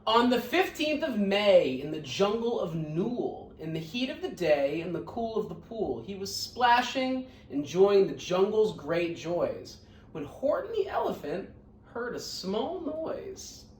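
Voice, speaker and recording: A woman reading a children's story aloud like a teacher, in an expressive, emphatic storytelling manner. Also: medium pitch, measured pace, slight background noise. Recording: roomy echo, distant microphone.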